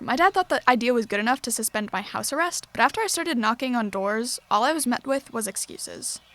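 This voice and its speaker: A woman acts as a teenage girl activist with a bright-eyed, earnest streak and simmering frustration. Her voice sits fairly high and she rattles along conversationally, like someone recording a private diary for an audio drama. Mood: frustrated, let down. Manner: conversational, earnest, youthful phrasing.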